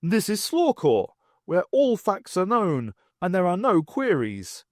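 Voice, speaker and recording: A man's voice: sits high, wildly swooping pitch, a little slow, no echo, clean studio sound, voice pushed up, heavily acted. A man voicing a stiff, literal-minded alien warrior with clipped, formal, declarative speech in a science fiction audiobook. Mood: stern, declarative.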